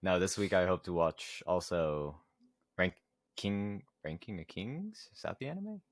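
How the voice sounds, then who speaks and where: A man's voice: low voice, huge pitch swings, measured pace, no echo. A young man co-hosting a podcast, talking casually. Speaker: podcast co-host. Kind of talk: casual chat.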